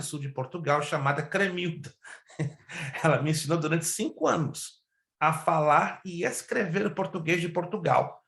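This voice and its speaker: Brief: male pastor-teacher, voice on the higher side, stretches words for emphasis, echoey room. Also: a bit bright. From Brazil. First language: Hebrew and Spanish. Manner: emphatic, questions the class, stretches key words. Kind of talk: online Bible class lecture.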